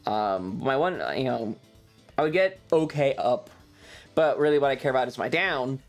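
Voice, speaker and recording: A streamer rambling casually through a personal story in an exasperated, sweary way, with halting, repetitive phrasing. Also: medium pitch, expressive, soft background music.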